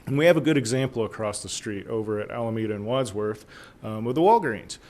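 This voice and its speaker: A hoarse man with a cold, presenting zoning changes at a city council meeting in a halting way, with many fillers and restarts. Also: fairly low pitch, animated, a little mellow. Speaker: city planning staff presenter. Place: council chamber.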